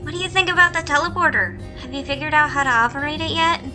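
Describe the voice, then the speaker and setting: A woman voicing a gentle disembodied voice inside a hero's head for a comic fan dub. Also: high-pitched, fast talker. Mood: curious. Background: music.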